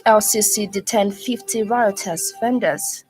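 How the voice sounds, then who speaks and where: A woman's voice: medium pitch, measured pace, loud music behind the voice. A woman reading a formal radio news bulletin as the presenter.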